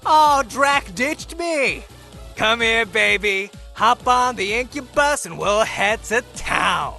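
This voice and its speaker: Brash flirty incubus, game character, high voice, gravelly edge, cocky, slangy, party-loving. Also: male voice, animated, slow pace, pushed and bright, faint music behind, heavily acted. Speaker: video game romance character. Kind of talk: scripted game dialogue, slangy.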